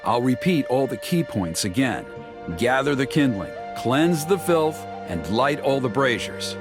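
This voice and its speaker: Earnest, highly animated young tribesman. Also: unhurried. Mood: reassuring. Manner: explanatory, earnest. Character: earnest young tribesman explaining a sacred ceremony. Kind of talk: video game character voice acting. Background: music.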